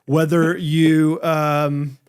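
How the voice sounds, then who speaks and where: A man's voice: a little high, little pitch movement, extremely slow, darkish tone, clean tone. A man co-hosting a podcast, joking and laughing often as he reads an ad. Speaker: podcast co-host. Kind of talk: casual chat with ad reads.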